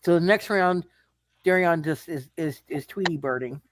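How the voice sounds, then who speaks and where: Game master for a live tabletop session: casual, halting delivery with repeated phrases, very little room sound. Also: mid-range voice, no room sound. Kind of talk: casual tabletop roleplay narration.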